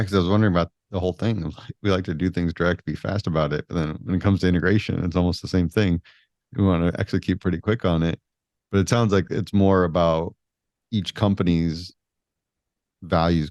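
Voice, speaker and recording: Low-voiced relaxed male podcast host. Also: no room sound. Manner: relaxed, joking, casual. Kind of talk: casual podcast interview.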